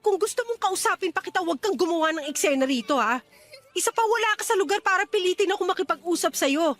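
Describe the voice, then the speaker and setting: A woman's voice: very high voice, expressive, fast talker, fairly bright tone. An actress playing a curt, defensive young woman firmly rejecting a suitor in a radio drama, with an irritated manner. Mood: irritated, scolding. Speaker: voice actor in a radio-style love story. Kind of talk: Filipino radio drama acting.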